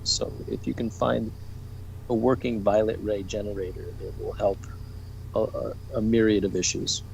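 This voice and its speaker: A man's voice: fairly low pitch, flat delivery, moderate pace, creaky, highs cut off, a little hiss or hum. A man speaking as a podcast guest, friendly and a little stammering.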